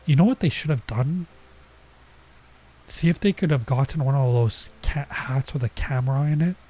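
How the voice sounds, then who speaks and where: Man, game commentary, nasal stuffy voice, mellow, husky, rambling. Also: mid-range voice, moderate pace. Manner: rambling, chatty asides. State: coming down with a cold. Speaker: streamer. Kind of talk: casual let's play commentary.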